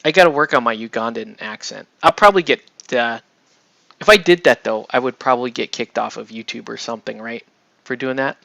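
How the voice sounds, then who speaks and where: A man with a gravelly, fry-edged voice chats loosely on a livestream as he paints miniatures. He rambles and cuts himself off. The sound is dry and a bit dull. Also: mid-range voice, fairly quick, no echo. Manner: rambling, excitable, self-interrupting with laughs. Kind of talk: casual livestream chat while painting.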